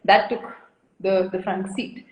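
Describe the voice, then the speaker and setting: A woman's voice: mid-range voice, level tone, measured pace, darkish tone. A woman from India in her thirties, a guest artist chatting frankly and at length on a video call, laughing often. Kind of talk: casual interview chat. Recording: echoey room.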